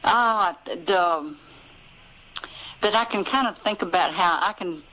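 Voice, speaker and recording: Low-voiced Southern American woman, halting. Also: pushed and bright, rough recording. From Memphis, southern United States. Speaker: interviewed novelist. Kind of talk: casual interview conversation.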